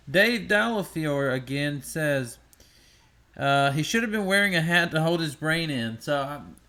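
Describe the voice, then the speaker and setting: Bright-voiced debate moderator, man. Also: mid-range voice. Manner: relaxed, friendly, filler-heavy. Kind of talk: reading live-chat questions.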